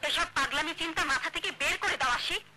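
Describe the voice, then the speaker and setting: A woman's voice: extremely high pitch, quick pace, pushed and bright, a little hiss or hum, heavily acted. A woman playing a stern, disapproving mother in a film melodrama, with an emphatic, scolding delivery. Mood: stern, forbidding. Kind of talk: Bangla commercial film melodrama acting.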